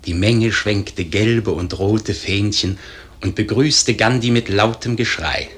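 A man playing a grave storyteller, narrating a literary radio play in measured, formal diction. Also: fairly low pitch, fairly bright tone. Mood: solemn, measured. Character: grave male storyteller narrating a historic tragedy.

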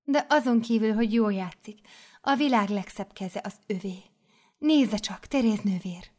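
A woman reading aloud and voicing a doting, excitable older mother superior who gushes over a new girl. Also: medium pitch, lightly acted. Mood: gushing. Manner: gushing, effusive, commanding.

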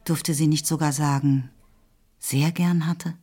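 Low-voiced woman narrating a literary radio play. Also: fairly even intonation, a little slow, warm tone, slightly rough. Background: music.

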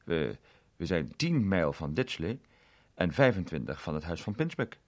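A man's voice: deep voice, normal speed, pitched below the performer's own voice. A man doing a bustling police inspector. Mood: eager. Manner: excited, repeats words.

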